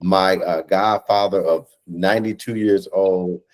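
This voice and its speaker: Interview guest: American man, thirties, lower-pitched voice, conversational, heartfelt, stop-and-start phrasing, on a video call. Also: fairly even intonation, darkish tone. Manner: earnest, halting, repeats phrases for emphasis. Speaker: guest, stage play cast or crew member. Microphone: video call microphone.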